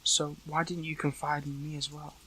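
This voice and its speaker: A man playing a weakened captive young superhero in an intimate audio roleplay, hurt but earnest, speaking haltingly with emotion. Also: a little high, little pitch movement, bright, forceful tone, a little hiss or hum, rough recording.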